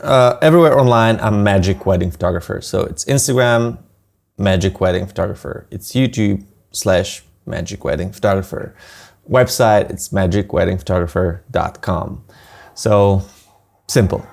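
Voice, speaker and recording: A man's voice: fairly low pitch, moderate pace, gravelly, darkish tone. A man with a Polish accent, a wedding photographer guest chatting casually, rambling with many fillers and restarts. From Poland. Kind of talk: casual interview chat.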